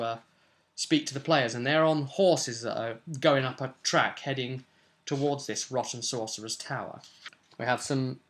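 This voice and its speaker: A man in his twenties casually recapping a tabletop role-playing session as its game master, explaining things as he goes. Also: mid-range voice. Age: 20s. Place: small cluttered room at home.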